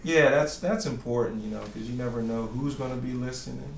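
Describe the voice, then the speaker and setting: A man chatting casually as interviewer and band member, laughing often and tossing in quick affirmations. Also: medium pitch, steady tone, vocal fry. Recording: roomy distant mic with faint noise.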